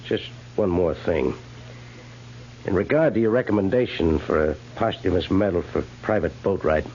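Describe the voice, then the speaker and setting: An actor playing a cautious, bureaucratic army major in a 1950s American radio drama, measured and official with some hesitation. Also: male voice, sits low, a little mellow, a little hiss or hum, lightly acted. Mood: officious, evasive. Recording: muffled, humming 1950s radio recording.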